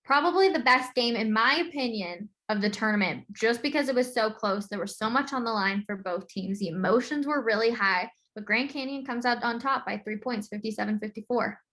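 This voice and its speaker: American female sports podcast host, slightly high voice, chatty game-by-game recap style. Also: a little fast, hardly any pauses, a bit bright.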